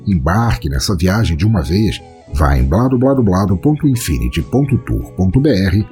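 A low-voiced Brazilian man delivers scripted audio-drama narration with a very animated, swooping pitch. His tone is dark and mellow, and his manner is theatrical and ornate. Faint music plays behind him. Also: moderate pace. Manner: theatrical, florid wordplay. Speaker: podcast host and storyteller.

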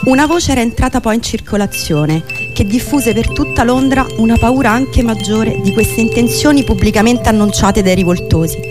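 Higher-voiced woman reading over loud music. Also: normal speed, few pauses. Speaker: narrator. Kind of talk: scripted literary narration for radio.

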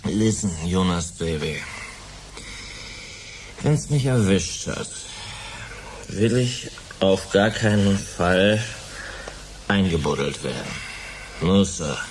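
A man's voice: deep voice, lively intonation, slow, pauses often, slight background noise, rough recording. A man playing a melancholic, drugged rock musician in a sci-fi radio drama, drawling in hipster slang peppered with English. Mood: melancholic, boozy.

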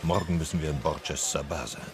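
An actor playing a reserved country traveller in a radio drama, answering briefly and hesitantly. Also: male voice, rumbling low, steady tone, fast. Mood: guarded, polite. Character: reserved male traveller passing through, a country stranger.

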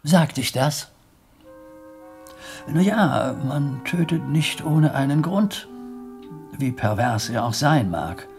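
Creaky-voiced, worried monastery abbot. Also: male voice, medium pitch, animated, slow, pauses often, a little mellow, soft background music. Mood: evasive, troubled. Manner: solemn, formal, hesitant and evasive. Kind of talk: radio play acting.

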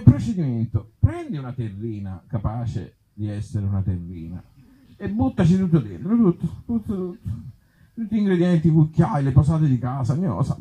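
A man from Italy reading absurd short comic stories aloud to a live gathering, with deadpan humour and offhand, hesitant asides. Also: a little high, husky, poor audio. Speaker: author and actor reading his comic tales at a live event.

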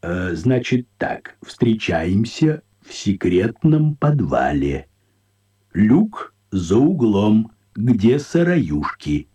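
An actor playing a nervous, secretive talking cat in a children's radio play, hesitant and awkwardly polite. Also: male voice, lowish voice, sing-song, drawn-out words, warm tone, exaggerated voice. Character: nervous secretive talking cat calling himself Valery Ivanovich.

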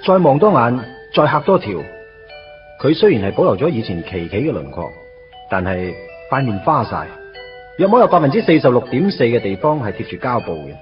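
A man acts as a wry young Hong Kong man in a Cantonese radio drama, self-mocking and conversational. His intonation is lively and wide-ranging. Music plays behind his slightly dull-sounding voice. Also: medium pitch, a little fast, lightly acted. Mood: bemused, deadpan. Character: wry, put-upon young man recalling his first love. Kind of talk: radio drama narration and dialogue.

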